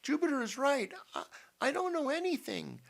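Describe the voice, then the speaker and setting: A man voicing a frightened, indignant mother in an audiobook story, emphatic and high-strung, stammering when pressed. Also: sing-song, moderate pace, vocal fry, full character voice.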